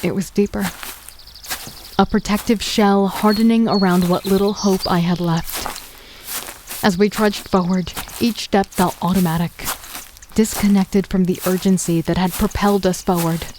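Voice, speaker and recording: A woman narrating an audio drama in the first person, steady, weary and understated. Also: medium pitch, normal speed, slight background noise. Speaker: narrator and lead. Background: music.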